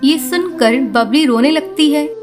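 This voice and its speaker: High-pitched female children's story narrator. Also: unhurried, over music.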